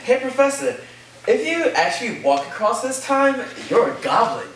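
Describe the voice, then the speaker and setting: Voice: medium pitch, big pitch swings, normal speed, fairly bright tone. An actor playing an easygoing, enthusiastic college student in a staged play reading, casual and reassuring. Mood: casual, curious. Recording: echoey room from far off, noisy.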